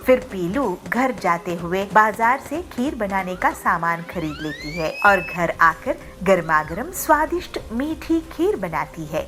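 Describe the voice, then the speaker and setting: A woman's voice: medium pitch, a bit bright. A woman narrating a scripted children's moral story as a storyteller.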